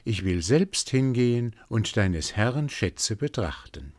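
A man's voice: lowish voice, very animated, takes it slowly, a little mellow, no echo, pitched above the performer's own voice, exaggerated voice. A man voicing a young queen in a fairy tale, with a raised, exclaiming voice. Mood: delighted. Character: young queen, a princess, easily delighted.

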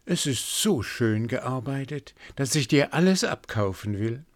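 A man's voice: mid-range voice, animated, measured pace, no room sound, clean studio sound, voice pushed up. A man voicing a young queen in a fairy tale, with a raised, exclaiming voice. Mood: delighted. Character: young queen, a princess, easily delighted.

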